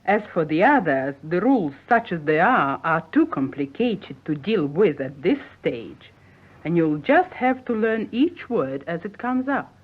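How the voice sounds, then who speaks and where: Slow, tinny-sounding woman teacher. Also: fairly low pitch, very animated. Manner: precise, didactic, carefully enunciated. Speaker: language teacher. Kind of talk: scripted radio language lesson, 1950s broadcast. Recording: tinny, phone-like 1950s radio recording.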